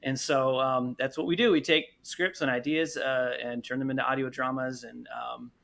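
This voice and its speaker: Interview guest: man, bright medium-pitched voice, enthusiastic, casual conversational delivery. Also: fairly even intonation, moderate pace, gravelly. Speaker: podcast guest, audio drama producer.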